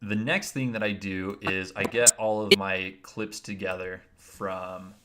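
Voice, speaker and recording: A man with a naturally deep voice, explaining his video editing steps one by one in a casual, rambling livestream. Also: steady tone, unhurried, very noisy. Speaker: streamer.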